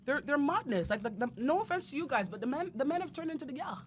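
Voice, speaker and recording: A woman's voice: high-pitched, sing-song, fast talker. A woman, a guest in a casual podcast chat, blunt and outspoken. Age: 30s.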